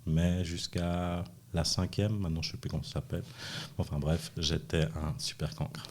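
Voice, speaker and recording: A man's voice: low-pitched, level tone, moderate pace, a little mellow. A middle-aged man, chatting casually as an interview guest in a joking, self-deprecating way. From born in Chile, raised in Switzerland from age five. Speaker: podcast guest, dance school founder. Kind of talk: casual chat.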